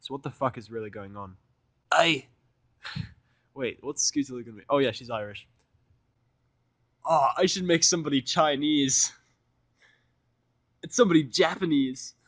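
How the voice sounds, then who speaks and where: A man's voice: mid-range voice, a bit bright, dry recording. A man narrating fan fiction in the first person, with irreverent, sweary casual asides.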